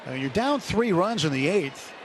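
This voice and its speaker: A man's voice: high-pitched, sing-song, moderate pace. A man doing live radio play-by-play of a baseball game in clipped present-tense calls, as the announcer in the booth. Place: stadium broadcast booth. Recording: noisy live ballpark radio broadcast. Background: loud ballpark ambience.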